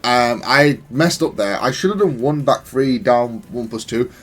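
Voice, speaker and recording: A man's voice: mid-range voice, a little slow. A man giving casual, analytical gameplay commentary in a matter-of-fact, self-critical way. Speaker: gaming content creator.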